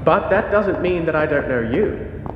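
An actor playing a smug, controlling spymaster in a sci-fi audio drama, mock-polite and condescending. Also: male voice, a little high, expressive, a little slow, lo-fi sound. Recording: clear background noise, ambience.

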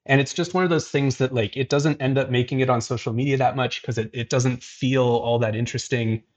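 A man's voice: medium pitch, steady tone, a little fast. A man hosting an art livestream, talking casually with many fillers and restarts. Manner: casual, filler-heavy, frequent "like" and "um". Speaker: host. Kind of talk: livestream chat.

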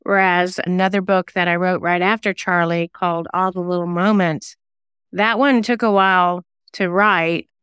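A woman with a fairly low, strikingly clean and resonant voice speaks as a guest in an interview. She is reflective and explains things through personal anecdotes. The sound is dry, with very little room in it. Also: steady tone, moderate pace, fairly bright tone, clean tone, no echo. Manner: thoughtful, anecdotal storytelling. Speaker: singer-songwriter and novelist guest; former radio DJ.

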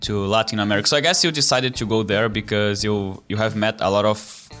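Easygoing man, lowish voice. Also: fairly even intonation, fairly quick, a bit bright, faint music behind. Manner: easygoing, lots of "like". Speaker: co-host. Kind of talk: casual interview chat.

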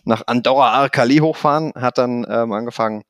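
A middle-aged man from the Rhineland with a mixed Swabian and Rhineland accent, talking fast and energetically as a podcast guest, with plenty of fillers and self-mocking jokes. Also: mid-range voice, fairly bright tone, no room sound, clean studio sound. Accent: mix of Swabian and Rhineland German. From Koblenz, Rhineland, Germany. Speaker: podcast guest, training institute manager. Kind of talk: casual interview talk.

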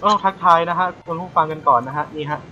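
A man's voice: fairly high pitch, fast talker, phone-quality sound, a little hiss or hum. A man hosting a casual live podcast, bantering and often restarting his phrases. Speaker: podcast host.

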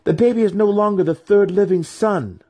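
A man voicing an urgent young female dark elf priestess with declamatory delivery in a fantasy audiobook. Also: high-pitched, normal speed, pitched above the performer's own voice. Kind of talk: dramatic fantasy audiobook reading.

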